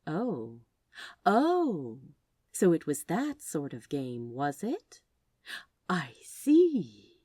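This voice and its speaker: A woman's voice: low-pitched, wildly swooping pitch, drawn-out words, dark tone, no room sound, clean studio sound, exaggerated voice. A woman voicing a prim, polite, eager male office worker with a formal, arch and playfully theatrical manner. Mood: conspiratorial.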